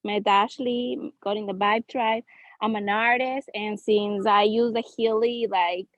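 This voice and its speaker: A woman's voice: medium pitch, steady tone, measured pace, no echo, muffled recording. A woman from Ecuador, an artist, chatting eagerly on a video call with lots of "like" fillers. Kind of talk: asking and introducing herself on a video call.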